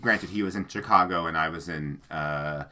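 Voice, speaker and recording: A man in his thirties with a low voice and a bright, forward tone. He talks in a loose, rambling way, full of fillers and "like". He sounds like a co-host in a casual book-channel chat. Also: normal speed. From American Midwest. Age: 30s.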